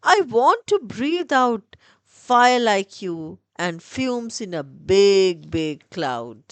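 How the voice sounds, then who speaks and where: Lady doing a eager young boy dragon from a kids storybook, earnest, really swoopy, unhurried. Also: mid-range voice, big pitch swings, dry recording. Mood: pleading.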